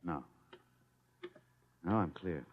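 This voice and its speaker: A man's voice: sits low, extremely slow. An American man playing a protective, determined fugitive husband in an old-time radio drama, speaking in earnest, clipped phrases. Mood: grim, reassuring. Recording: muffled, noisy old radio recording.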